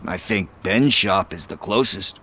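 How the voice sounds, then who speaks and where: A scruffy, streetwise informer in a game drama. His voice sits a little low but has a bright, forward edge. He is chatty and sardonic, tossing out slang with a wry, casual manner. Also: a little slow, exaggerated voice. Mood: confiding. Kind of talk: video game dialogue acting.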